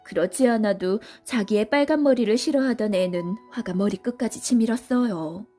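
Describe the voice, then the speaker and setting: A woman's voice: medium pitch, normal speed, dark tone, soft background music. A woman reading scripted narration for a children's story as a storybook narrator.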